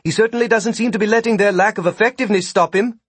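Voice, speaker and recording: A man's voice: high-pitched, steady tone, fast talker, breathy edge, no room sound, voice pushed up. A man voicing an earnest, eager young wizard who explains things matter-of-factly in an audiobook. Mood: dry, unsure. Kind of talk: audiobook character voice.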